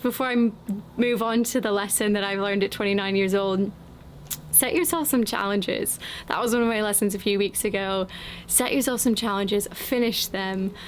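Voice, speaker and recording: A woman in her late twenties, talking slowly and thoughtfully in a casual vlog with frequent ums, outdoors in the wind. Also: medium pitch. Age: late 20s. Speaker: vlogger. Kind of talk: reflective casual vlog talk. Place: outdoors, windy.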